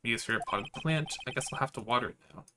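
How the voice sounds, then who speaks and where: A man's voice: medium pitch, quick pace, noisy background, close to an everyday voice. A man reading aloud an earnest, doggedly inquisitive young male defense lawyer from a visual novel game. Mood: musing.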